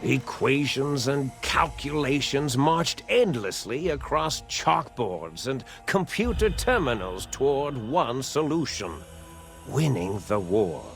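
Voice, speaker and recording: Solemn guy narrating a game ending, takes his time, pitch moves around a lot, deliberate pauses. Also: expressive, creaky, fairly bright tone, heavily acted. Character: grave storyteller recounting a post-war science legend. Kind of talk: scripted narration, measured storytelling. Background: music behind the voice.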